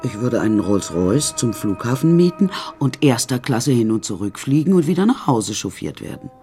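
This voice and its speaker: A woman's voice: very deep voice, very animated, moderate pace, mellow, faint music behind. An actress playing a vain, deluded middle-aged woman artist in an asylum, delivering a theatrical, self-important radio play monologue. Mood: self-satisfied, persuasive.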